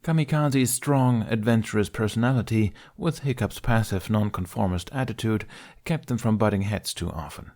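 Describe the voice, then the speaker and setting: A man's voice: fairly low pitch, normal speed, darkish tone. A man reading a story aloud as an audiobook narrator in a scripted, storytelling style.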